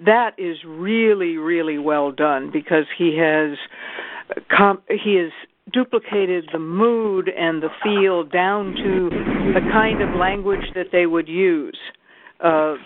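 Knowledgeable woman on a phone line. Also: sits low. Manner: knowledgeable, precise, corrects herself. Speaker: guest, literary scholar. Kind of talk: casual book discussion. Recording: dry tinny phone line.

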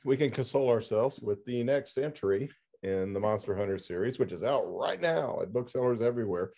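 A man hosting a casual interview, with halting, hesitant phrasing. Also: lowish voice, creaky, darkish tone. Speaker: podcast host, interviewer.

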